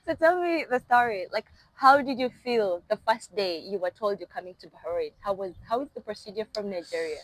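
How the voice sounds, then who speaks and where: A woman's voice: medium pitch, lively intonation, a little fast, hardly any pauses. Lady doing a casual interview, chatty, dry recording no echo. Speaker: host interviewer.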